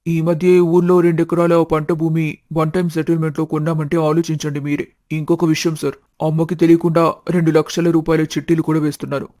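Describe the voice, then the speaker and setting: A man plays a good-hearted young shopkeeper in a storybook family drama. His voice is on the high side and mellow, with flat intonation, and he talks in a chatty, polite, matter-of-fact way. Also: fairly quick, no room sound, studio quality, lightly acted. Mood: chatty, frank. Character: talkative, good-hearted young snack-shop owner seeking marriage.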